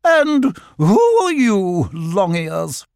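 A storybook otter lady played by a male narrator, vain and imperious. The voice sits high and swings grandly up and down, with haughty, self-admiring delivery in a very dry recording. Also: big pitch swings, extremely slow, creaky, darkish tone, clear, resonant tone, dry recording, full character voice. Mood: haughty, indignant. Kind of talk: storybook character voice.

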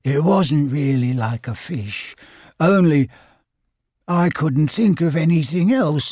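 A man voicing Pooh, a gentle, humble storybook bear, with a measured, lilting delivery. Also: on the high side, no echo, studio quality. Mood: modest.

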